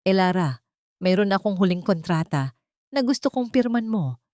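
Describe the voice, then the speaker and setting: A woman voicing a devoted, tender man in love, softly spoken, in an audio drama love story. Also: medium pitch, expressive, moderate pace, dry recording, natural voice. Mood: soft, loving. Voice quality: soft. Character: devoted, tender male lover, powerful man turned gentle.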